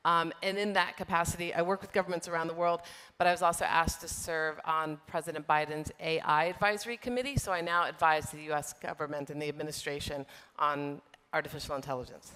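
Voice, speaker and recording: A middle-aged woman answering interview questions on a conference stage, measured, with many fillers and restarts. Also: low-pitched, fairly even intonation, fairly bright tone, smooth. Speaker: software trade group executive, guest. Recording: long reverb of a large hall.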